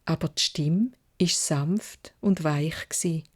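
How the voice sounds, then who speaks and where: A woman with a Swiss German accent narrating a children's fairy tale in a measured, expressive way. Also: deep voice. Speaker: storyteller.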